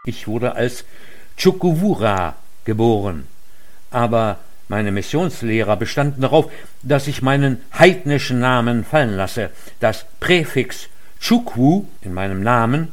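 A man delivering a formal lecture in a measured, earnest way. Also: mid-range voice. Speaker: lecture speaker. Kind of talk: formal read speech.

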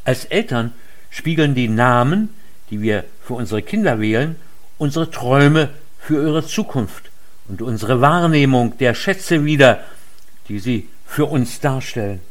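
A man delivering a formal lecture in a measured, earnest way. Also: medium pitch, expressive. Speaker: lecture speaker. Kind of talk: formal read speech.

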